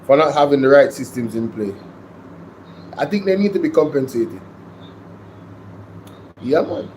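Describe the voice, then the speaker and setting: A man's voice: medium pitch, lively intonation, unhurried, darkish tone, a little hiss or hum, low-quality recording. A man with a Jamaican accent hosting a reaction show, commenting emphatically on a political interview clip. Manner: emphatic, sarcastic asides.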